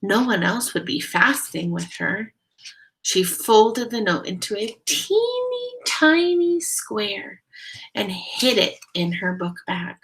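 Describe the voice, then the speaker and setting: A woman reading a storybook aloud like a teacher, explaining things deliberately and putting questions to young listeners. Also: mid-range voice, sing-song, fairly bright tone, slight background noise, poor audio. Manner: deliberate, explanatory, addressing children. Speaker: teacher reading a picture book aloud to children.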